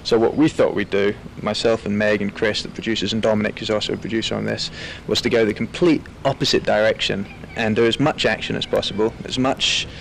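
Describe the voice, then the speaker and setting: Male director, low creaky voice, conversational, wordy storytelling, faint rumble. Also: little pitch movement, a little fast, few pauses, poor audio. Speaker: film director being interviewed. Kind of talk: relaxed conversational interview.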